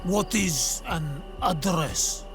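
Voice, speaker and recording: An actor playing an earnest, cautious native islander in a video game, with simple, careful phrasing. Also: male voice, sits high, very slow, over music. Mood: earnest, urgent.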